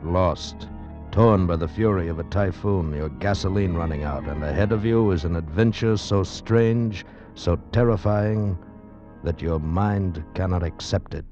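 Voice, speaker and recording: A man narrating a 1940s radio trailer in a dramatic, ominous, deliberate style. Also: sits low, mellow. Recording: dull vintage radio recording. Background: music.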